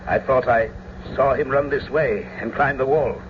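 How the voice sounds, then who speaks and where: Man acting serious worried young gent, mid-pitched, stagey old radio play, noisy recording. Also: normal speed, narrow, tinny audio, close to an everyday voice. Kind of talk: classical stage-style radio drama acting.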